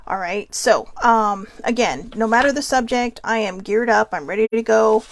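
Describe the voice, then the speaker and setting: Woman host, California-raised, earnest chatty monologue; slightly high, gravelly voice. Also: moderate pace, a little hiss or hum. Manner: earnest, chatty, with frequent ums and asides. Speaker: podcast host. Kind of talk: casual monologue.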